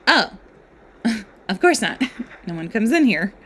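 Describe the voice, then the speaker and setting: A woman acting a playful, nerdy, excitable fairy student with a dramatic storytelling manner in an amateur voiced roleplay. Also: mid-range voice, slow, a little hiss or hum. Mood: amazed, excitable.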